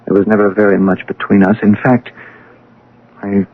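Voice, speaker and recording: An actor playing a bitter, proud scientist son in an old-time radio drama, with a theatrical, emphatic delivery. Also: male voice, on the low side, flat delivery, moderate pace, phone-quality sound, close to an everyday voice. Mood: bitter, defiant. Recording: band-limited radio drama recording.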